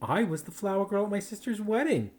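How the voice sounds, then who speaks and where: A man's voice: high-pitched, sing-song, a little fast, voice pushed up. A man puts on a proud little schoolgirl who is easily annoyed. The storybook voice is childlike and moves up and down a great deal.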